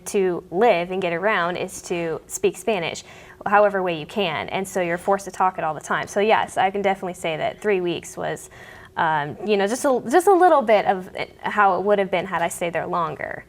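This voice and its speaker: A woman's voice: mid-range voice, moderate pace. A young woman student answering interview questions earnestly, rambling a little with many ums. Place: studio.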